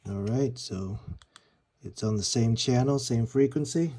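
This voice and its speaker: A man's voice: mid-range voice, measured pace, heavy background noise. A man reviewing gear in a casual, conversational and slightly rambling way, explaining things as he goes. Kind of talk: casual product demo talk.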